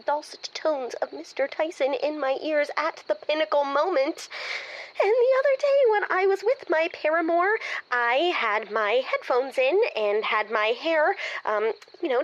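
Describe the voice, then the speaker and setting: Female comedy caller played by an actress: high voice, gushing, sheepish, obsessive nerd, halting then melodramatic. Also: a bit bright, muffled recording. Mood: breathless, reverent. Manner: hesitant fillers mixed with gushing, dramatic emphasis. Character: gushing, embarrassed lovestruck young woman with nerdy obsession. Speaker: caller seeking advice. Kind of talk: improvised comedy dialogue.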